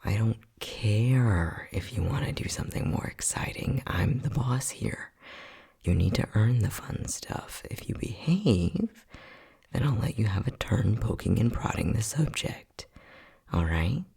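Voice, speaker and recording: A man's voice: lowish voice, lively intonation, unhurried, creaky, darkish tone, slightly rough, exaggerated voice. A man playing an unhinged, bossy scientist who teases and condescends in a sardonic ASMR roleplay. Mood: smug, playful. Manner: sardonic, teasing, condescending, with frequent sighs.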